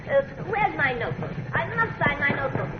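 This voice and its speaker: An actor playing an absent-minded, excitable old professor in 1940s radio style, befuddled and repeating himself. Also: male voice, extremely high pitch, brisk. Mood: excited, absorbed. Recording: muffled, noisy 1940s radio recording.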